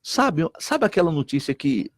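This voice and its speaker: A man's voice: fairly high pitch, normal speed, no room sound, studio quality. A middle-aged man from Recife, a state deputy and missionary pastor talking earnestly and repeating himself. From Recife, Brazil. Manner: earnest, repeats himself, quotes others in lively voices. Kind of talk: animated talk-show conversation.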